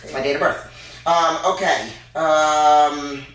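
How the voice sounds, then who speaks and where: A woman's voice: sits low, steady tone. A woman in her forties co-hosting a casual fitness Q&A, talking loosely with lots of ums. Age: 40s. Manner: unhurried, loose, many filler sounds. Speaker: fitness co-host. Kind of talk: casual Q&A chat. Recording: echoey room, mic far off.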